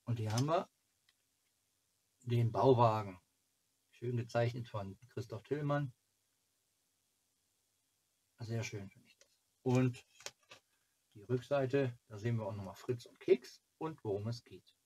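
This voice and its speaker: Man, forties, mid-pitched voice. Casual home vlog host, halting delivery, muses to himself while showing items. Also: a little mellow, slightly rough, low-quality recording. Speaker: fan club video host. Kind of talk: casual chat reviewing fan merchandise. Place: small cluttered home room, indoors.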